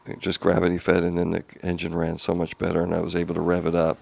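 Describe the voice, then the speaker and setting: A man giving a casual update video from his home garage, talking quietly in a monotone with many ums and restarts, on a small clip-on mic. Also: low-pitched, quick pace, creaky. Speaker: home car restorer. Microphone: small clip-on wireless mic.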